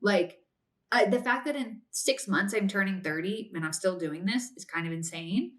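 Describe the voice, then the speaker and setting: A woman's voice: medium pitch, normal speed, fairly bright tone. A woman in her late twenties hosting a podcast, giving casual advice in a chatty way, often using "like" and "if that makes sense". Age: 20s. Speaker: podcast host.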